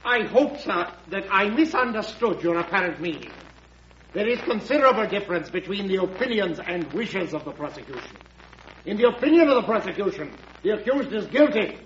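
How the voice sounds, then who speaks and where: An actor playing an indignant, formal government prosecutor in a classic radio drama, oratorical and emphatic. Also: male voice, sits high, animated, a little fast, a bit bright, highs cut off. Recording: echoey, noisy, band-limited old radio recording.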